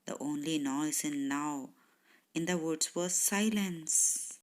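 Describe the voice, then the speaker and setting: A woman narrating a children's bedtime story in a measured, expressive way with dramatic pauses. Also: sits low.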